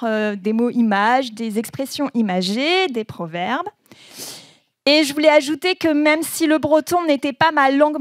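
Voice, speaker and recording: A woman giving a relaxed presentation, reading proverbs aloud and explaining them with frequent laughter. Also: high-pitched, no echo, clean studio sound. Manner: slow, laughing often, says proverbs then explains them. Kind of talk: informal presentation with translations.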